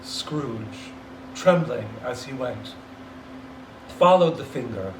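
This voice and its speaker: A man's voice: mid-range voice, animated, takes it slowly. A man reading a story aloud in a dramatic, emphatic, theatrical storybook narration style. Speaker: story reader. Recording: roomy echo, distant mic, faint noise.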